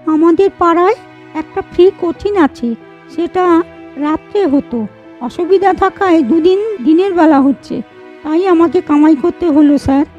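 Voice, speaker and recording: A woman voicing a poor, quiet teenage schoolgirl who speaks politely and apologetically in a storybook cartoon. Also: very high-pitched, a little slow, muffled recording, faint music behind. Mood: apologetic.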